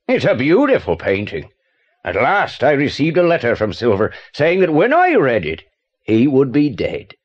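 Excitable British male art dealer, middle-aged, high voice that swoops wildly, theatrical audio drama style. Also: muffled recording, exaggerated voice. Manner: excitable, theatrical, well-spoken. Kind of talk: audio play acting for children.

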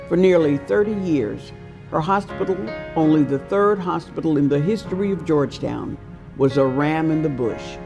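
Older lady reading a history piece, gravelly low voice, soft music under it. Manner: measured, reverent storytelling. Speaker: documentary narrator. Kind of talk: scripted narration. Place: indoors before dark shutters. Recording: music bed behind voice.

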